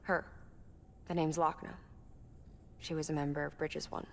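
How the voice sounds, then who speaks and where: An actress playing a pragmatic, weary engineer in a cinematic video game, speaking in a measured, understated way. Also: female voice, deep voice, flat delivery, creaky, slight background noise. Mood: matter-of-fact, concerned. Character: weary, pragmatic adult female engineer, candid and haunted. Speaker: engineer character in a game cutscene. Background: game score music.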